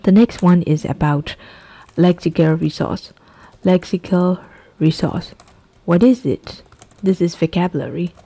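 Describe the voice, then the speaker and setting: Low mellow Burmese-accented teacher. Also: female voice, husky. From Myanmar. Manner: repeats key phrases slowly to drill them. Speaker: English teacher. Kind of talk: exam-prep lesson.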